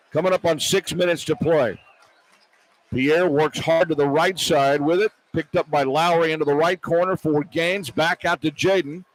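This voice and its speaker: A man calling live basketball play-by-play on the radio, excitable and partisan, in clipped running descriptions. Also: fairly high pitch, low-quality recording. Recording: arena noise behind broadcast commentary. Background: arena crowd ambience.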